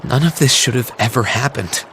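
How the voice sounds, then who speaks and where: An actor voicing an earnest, self-doubting teenage student in a video game, speaking sincerely and reflectively. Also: male voice, medium pitch, normal speed, fairly bright tone, a little hiss or hum, lightly acted. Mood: self-loathing, dejected. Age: young adult. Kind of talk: video game cutscene voice acting. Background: trickling water ambience.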